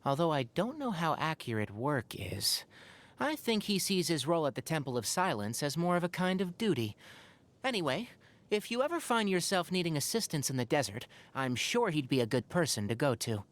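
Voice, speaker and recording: A man's voice: fairly high pitch, sing-song, normal speed. A man voicing an earnest, wry young forest ranger scholar in a video game, speaking in an articulate, thoughtful, caring way. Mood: friendly, earnest. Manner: articulate, thoughtful, dryly opinionated. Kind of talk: scripted game character monologue.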